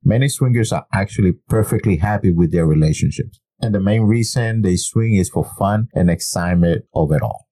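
A man's voice: sits low, fairly quick, few pauses, warm tone, no echo. A man co-hosting a podcast in casual chat, laughing often and restarting his sentences as he reads items aloud. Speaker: podcast co-host.

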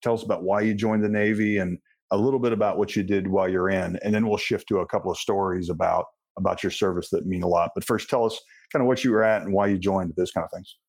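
A man's voice: low-pitched, fairly even intonation, fast, darkish tone, breathy edge. A man hosting a podcast interview in a casual, bantering way with loosely phrased questions.

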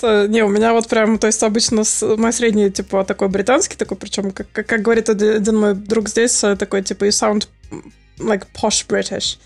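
A woman's voice: mid-range voice, level tone, brisk, darkish tone, soft background music. A woman guest on a podcast, chatting casually with many fillers and frequent laughs. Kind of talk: casual chat.

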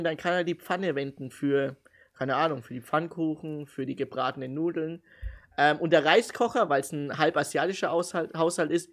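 A man co-hosting a casual podcast, talking in a lively way, laughing often and speaking straight to the listeners. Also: fairly high pitch. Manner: animated, laughing, addresses listeners. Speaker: podcast co-host. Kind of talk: casual chat. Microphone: home podcast mic.

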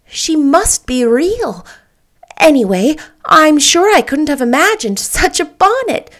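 A woman putting on a gushing, excitable young girl. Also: sits high, slow, pitched above the performer's own voice, exaggerated voice. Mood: excited. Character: eager imaginative young girl teacher. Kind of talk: audiobook character reading.

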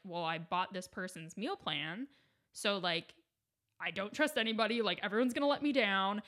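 A woman's voice: mid-range voice, fairly bright tone. A young woman hosting a casual solo podcast, chatty and full of "like" and "right?", sounding a little congested from a cold. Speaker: podcast host.

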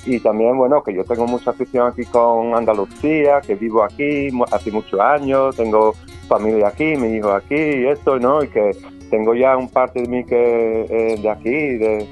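A man's voice: medium pitch, fairly even intonation, a little mellow. Hesitant Scottish man, guitar behind. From Scotland. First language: English. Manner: halting, many restarts and fillers. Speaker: guest flamenco guitarist. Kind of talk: casual interview. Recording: faint guitar music behind.

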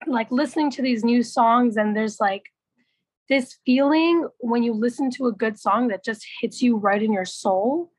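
A young woman from Canada with a naturally raspy voice, a musician guest talking casually and with animation. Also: on the high side, no room sound. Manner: animated storytelling, laughs often, swears. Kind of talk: casual chat.

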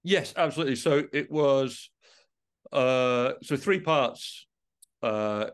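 A man speaking as a musician guest in a reflective interview, halting and often rephrasing mid-sentence. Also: medium pitch, clear, resonant tone.

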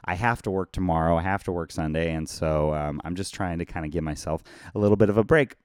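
Man, hoarse and worn out, podcast host, rambling casual chat, lowish voice, dry close sound. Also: quick pace, a little mellow, no room sound. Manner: rambling, chatty, thanks viewers by name. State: tired. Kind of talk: casual live-stream chat. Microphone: phone.

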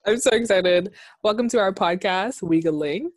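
A woman's voice: medium pitch, normal speed, no echo. A woman in her twenties from the Bahamas, co-hosting a podcast in a casual, chatty way, laughing easily. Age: 20s. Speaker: podcast co-host. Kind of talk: casual chat. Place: her own room.

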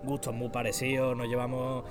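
Even-toned Andalusian man over music. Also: medium pitch, normal speed. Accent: Andalusian Spanish. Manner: slangy, informal. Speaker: interview guest, rapper. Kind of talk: casual chat.

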